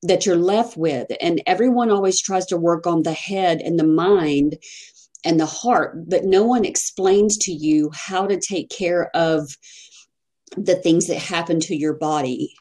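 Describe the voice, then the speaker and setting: A woman with a Texan accent speaks slowly and hesitantly, pausing often as a podcast guest. Her voice sits a little low and sounds mellow and warm. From Texas. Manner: soft-spoken, hesitant, many pauses and fillers. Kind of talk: personal testimony in a podcast interview.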